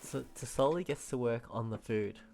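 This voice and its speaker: A woman's voice: a bass voice, moderate pace, gravelly, warm tone. A woman giving casual, rambling reaction commentary as she streams a game, often hesitant and sympathetic.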